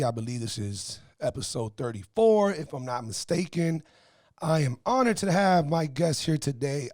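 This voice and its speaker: A man hosting a podcast interview, talking in an energetic, informal and enthusiastic way. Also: mid-range voice, no echo, studio quality. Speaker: podcast host. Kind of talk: casual interview.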